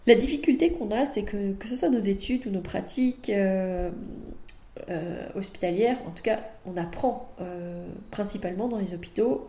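A woman's voice: medium pitch, dark tone, roomy sound. A woman from France with a French accent, hosting a podcast as a midwife and talking in a monologue.

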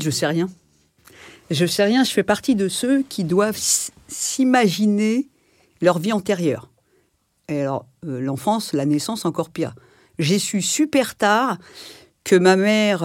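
A woman's voice: deep voice, a little mellow, no room sound. Hesitant woman in her sixties, dry close mic. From France. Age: 60s. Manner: hesitant, reflective, many fillers and restarts. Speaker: interview guest. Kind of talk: personal life-story interview.

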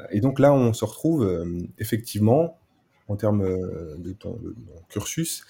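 A man interviewing a guest in a casual conversational style. His voice is very dark and mellow with a husky grain, and he searches for his words, repeating bits as he goes. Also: lowish voice. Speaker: interviewer, podcast host.

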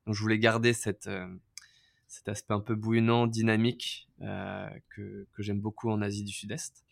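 A man's voice: lowish voice, little pitch movement, moderate pace, vocal fry. A man in his thirties from France, a podcast guest telling his life story in a casual, hesitant way with many fillers and restarts. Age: 30s.

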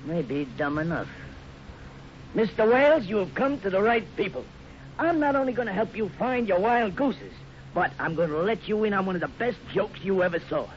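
High-voiced scheming crook. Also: male voice, animated, moderate pace. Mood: falsely friendly. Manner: crafty, slangy. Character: scheming, persistent small-town male bank robber. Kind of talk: 1950s radio villain acting. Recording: muffled, slightly noisy old radio recording.

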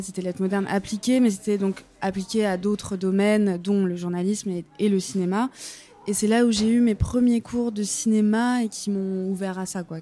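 A woman's voice: mid-range voice, dark tone. A young woman from France, guest on an interview, speaking thoughtfully and hesitantly with many fillers in a café-restaurant. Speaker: guest, film script supervisor. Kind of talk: conversational interview.

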